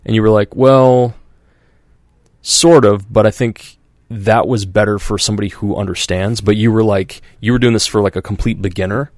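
A man with a fairly low voice talks through a casual podcast conversation, rambling and restarting, filling with "like" and "you know", slipping into quoted voices. The sound is dry and close, with no room around it. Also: fairly even intonation, moderate pace, a little mellow, no room sound. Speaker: podcast host. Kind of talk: casual chat.

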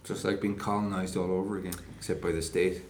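A man's voice: low voice, little pitch movement, quick pace, a little mellow, roomy sound, a little hiss or hum. A man interviewing, asking short, probing questions.

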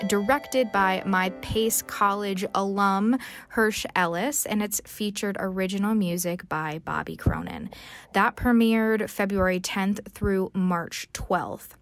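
Woman, podcast host, introduction style, enthusiastic, admiring, medium pitch, soft music behind.

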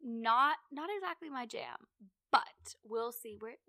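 A woman's voice: fairly high pitch, animated, unhurried, vocal fry, bright, forceful tone, no room sound, clean studio sound. A young woman co-hosting a casual chat, talking dramatically with lots of "like". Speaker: podcast co-host.

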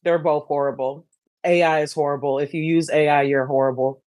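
A woman with a fairly low voice talks in a casual podcast panel. She is blunt and opinionated, swearing freely. The sound is close and dry. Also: steady tone, a little fast, a little mellow, no room sound. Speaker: podcast co-host.

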